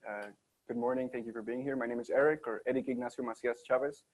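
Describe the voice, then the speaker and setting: A young man, a university senior, answering questions as a student panelist, soft-spoken and relaxed. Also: mid-range voice, quick pace. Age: young adult. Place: conference session room.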